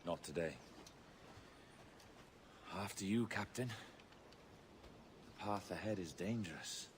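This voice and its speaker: An actor playing a calm, cryptic and cultured pirate captain in a video game cutscene, speaking in a measured, often hushed way. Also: male voice, low voice, a little hiss or hum.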